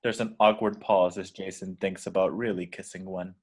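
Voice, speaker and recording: Man, deep low voice, scripted narration, reading stage directions. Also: flat delivery, moderate pace, breathy edge.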